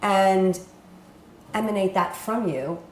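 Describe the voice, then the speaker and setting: A woman's voice: mid-range voice, slow pace, echoey room, slight background noise. A woman from New York hosting a talk show, speaking earnestly and encouragingly in casual chat.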